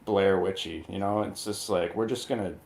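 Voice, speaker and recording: A man speaking as a guest reviewer in casual, somewhat halting chat. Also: sits low, vocal fry, darkish tone.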